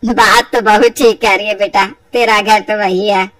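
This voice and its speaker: A woman's voice: mid-range voice, steady tone, moderate pace, fairly bright tone, dry recording, distant mic, voice pushed down, natural voice, rough recording. A woman voicing a mild, agreeable older mother-in-law in a cartoon moral story.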